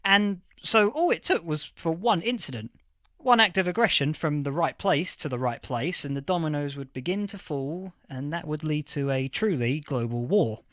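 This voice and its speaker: An actor playing a history professor from a post-apocalyptic future in a fiction podcast interview, explaining things with emphatic lecturing. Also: male voice, on the high side, fairly bright tone, no room sound, clean studio sound.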